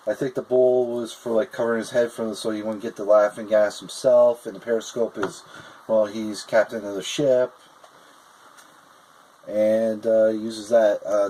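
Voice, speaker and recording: A man with a mellow, warm-toned voice chats casually beside a friend. He meanders through his memories, pausing on fillers, with a faint hiss in the background. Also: fairly low pitch, steady tone, low-quality recording. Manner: chatty, recalling episodes with fillers. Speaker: guest, friend of the host. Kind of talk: casual chat.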